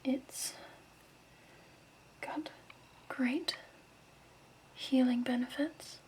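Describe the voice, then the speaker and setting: A woman playing a gentle, soothing crystal shop keeper in a hushed, patient ASMR roleplay whisper. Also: high-pitched, steady tone, very slow. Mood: gentle, explaining.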